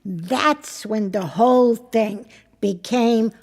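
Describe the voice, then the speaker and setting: An actress playing a blunt, worldly older married woman in an intimate audio drama, with a husky, creaky voice and a wry, halting manner. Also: fairly high pitch, darkish tone. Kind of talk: intimate two-person audio drama acting.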